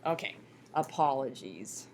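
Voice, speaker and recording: A woman's voice: rumbling low, lively intonation, a little slow, a little mellow. A woman lecturing to a college class in a folksy, encouraging way, prompting students with questions as she goes. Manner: folksy, addresses students often as "you guys" and "folks"; encouraging, question-and-answer teaching. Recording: clearly noisy classroom recording.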